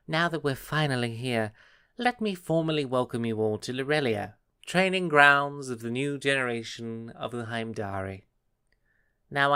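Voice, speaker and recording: A man playing a composed, welcoming fantasy grandmaster in an audio drama, giving a measured, formal address. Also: medium pitch, pushed and bright, clean tone, dry recording, studio quality.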